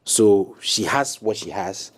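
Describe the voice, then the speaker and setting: Low male guest consultant; halting, filler-heavy speech that turns emphatic. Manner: hesitant, many ums, grows animated and emphatic. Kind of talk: panel talk show discussion.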